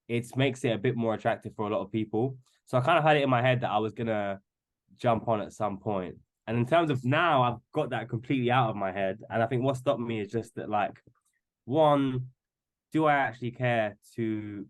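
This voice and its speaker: A man in his twenties, a podcast guest, talking casually with many restarts and fillers. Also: mid-range voice. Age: 20s. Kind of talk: casual chat.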